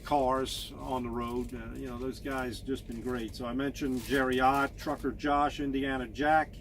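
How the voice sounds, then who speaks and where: A man in his fifties, a truck driver, talking casually to camera in a monotone, halting way with many fillers and repeated words. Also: medium pitch, slight background noise. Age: 50s.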